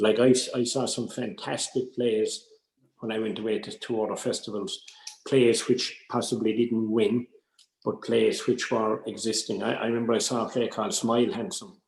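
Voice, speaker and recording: A man's voice: lowish voice, a little mellow. A man with an Irish accent giving an informal workshop talk in a rambling, conversational way. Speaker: workshop speaker. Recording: roomy, echoey sound.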